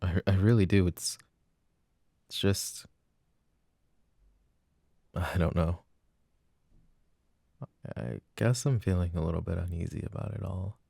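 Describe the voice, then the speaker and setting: A man's voice: low-pitched, slow pace, gravelly, long pauses, dark tone, dry recording, exaggerated voice. A man playing a loving but jealous boyfriend in an intimate, hesitant roleplay ASMR performance on a close microphone. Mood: worried, insecure. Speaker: voice actor.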